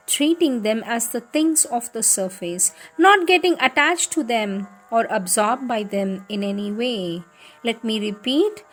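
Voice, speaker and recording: Woman w a slightly high voice reading devotional spiritual stuff out loud, deliberate, faint music behind her. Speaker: reader of spiritual teachings. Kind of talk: devotional reading aloud of letters, questions and answers. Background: music in the background.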